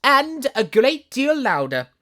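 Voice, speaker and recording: A storyteller voicing a proud, bossy tiger lily flower in a loud, high, drawn-out scolding voice. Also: very animated, heavily acted. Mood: haughty.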